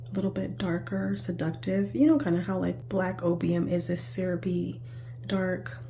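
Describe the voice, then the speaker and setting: A hoarse woman with a raspy, worn voice that sits dark and mellow, talking casually through a perfume review. She rambles and corrects herself as she goes, with a faint hum behind her. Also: mid-range voice. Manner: chatty, rambling with self-corrections.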